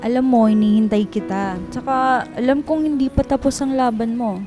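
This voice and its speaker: An actress playing a loyal, protective office friend in a radio drama, speaking with earnest concern. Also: female voice, on the high side, normal speed, music in the background, lightly acted. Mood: gentle concern.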